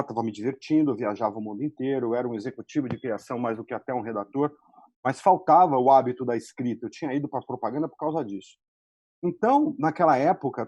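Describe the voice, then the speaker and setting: A man's voice: mid-range voice, a little mellow, slightly rough, no echo, highs cut off. A middle-aged or older male writer casually telling a personal account as a panelist.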